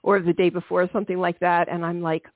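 Female podcast host, slightly gravelly, chatty with ums, dry tinny phone-line sound. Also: fairly low pitch, little pitch movement, fast talker, no echo. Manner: warm, chatty, many ums and soft laughs. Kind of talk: hosting a healing-themed interview podcast.

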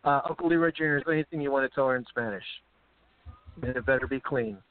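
An upbeat man hosts a wrestling talk show with a smooth, resonant voice. The sound is dry and tinny, like it came through a phone line. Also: medium pitch, fast, dry recording. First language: English. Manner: upbeat, hype-man sign-offs. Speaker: podcast host. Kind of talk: casual show hosting.

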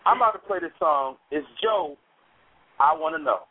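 A man's voice: fairly high pitch, lively intonation, moderate pace, breathy edge. A man in his forties hosting a radio interview, enthusiastic and stumbling over repeated words. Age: 40s. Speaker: radio show host. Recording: tinny phone-line sound.

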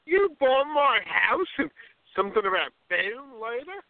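A man's voice: very high voice, very animated, unhurried, vocal fry, no echo, phone-quality sound, heavily acted. An actor playing an awkward, bemused younger man in a radio play, hesitant and incredulous.

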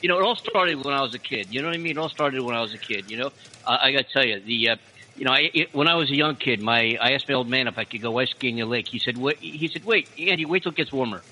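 A man hosting a talk show, cracking self-deprecating jokes in quick banter. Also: medium pitch, sharp tone, poor audio. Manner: self-deprecating joke-telling. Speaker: radio show host.

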